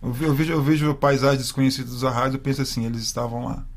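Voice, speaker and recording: A male guest musician from Rio de Janeiro state, chatting in a relaxed, slangy way. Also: mid-range voice, racing. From Cabo Frio, Rio de Janeiro state. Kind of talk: casual chat.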